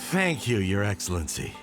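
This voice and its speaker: A man's voice: lowish voice, vocal fry, full character voice. A man playing a nervous, deferential merchant in a video game, hesitant and pleading. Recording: music behind the voice.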